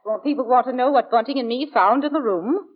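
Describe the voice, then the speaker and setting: Actress as anxious housewife, 1940s radio melodrama, high voice, urgent, breathless, dull vintage recording. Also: female voice, quick pace, lightly acted. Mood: timid, deferential. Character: anxious working-class married London woman, panicky. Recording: muffled old radio recording.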